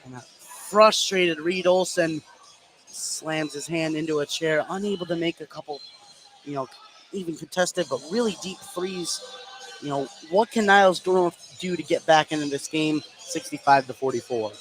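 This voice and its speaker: A man's voice: on the high side, moderate pace. A man doing live play-by-play commentary of a basketball game. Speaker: play-by-play announcer. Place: courtside in a school gym. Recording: noisy gym broadcast. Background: loud gym ambience.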